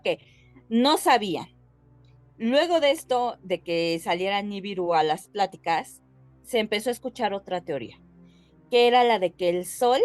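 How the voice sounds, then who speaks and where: A woman with a Mexican Spanish accent co-hosting a podcast, explaining things casually and haltingly as she addresses her listeners. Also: medium pitch, no room sound. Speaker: podcast co-host.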